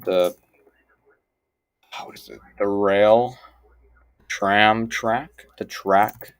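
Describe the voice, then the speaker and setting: A man's voice: fairly low pitch, steady tone, drawn-out words, no room sound. A man casually commentating on on-screen lessons, thinking aloud in a mumbled aside manner. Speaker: host of a language-learning series. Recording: clearly noisy home recording.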